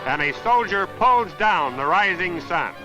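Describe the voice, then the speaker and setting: High-pitched dramatic American newsreel man. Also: expressive, measured pace, muffled recording. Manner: dramatic, emphatic, clipped declamatory delivery. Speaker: newsreel commentator. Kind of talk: 1940s newsreel narration, scripted. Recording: noisy 1940s newsreel soundtrack. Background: music, artillery fire and battle sounds.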